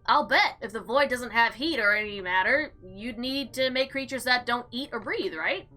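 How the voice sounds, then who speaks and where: A woman's voice: fairly high pitch, normal speed, sharp tone. A woman playing a visual novel, reading it aloud expressively and dramatically with casual commentary. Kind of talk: let's play narration with casual commentary. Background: faint background music.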